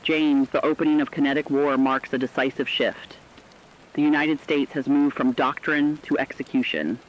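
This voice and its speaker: A man playing a serious radio war correspondent in a formal, measured scripted news broadcast. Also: mid-range voice, lo-fi sound, close to an everyday voice. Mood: grave.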